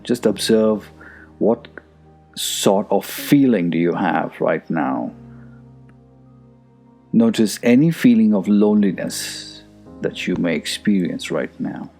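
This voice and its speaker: A man leading a guided meditation in a soothing, unhurried manner that is hushed at times. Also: fairly low pitch, lively intonation. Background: soft music.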